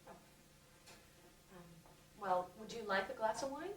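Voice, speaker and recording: An actress playing a sardonic sister who teases and cracks wise, heard in an echoey room from some distance. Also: female voice, fairly high pitch, animated, a little slow. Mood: wry. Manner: teasing, wisecracking. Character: sardonic, teasing woman, a smoker. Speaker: visiting sister. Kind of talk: film dialogue. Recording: echoey room, mic from afar.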